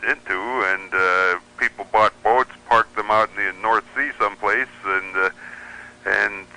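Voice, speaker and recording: Bright, forceful American man. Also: on the low side, measured pace, gravelly, clear, resonant tone, low-quality recording. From United States. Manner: explanatory, opinionated. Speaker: radio show guest, pirate radio enthusiast. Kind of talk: conversational radio interview commentary.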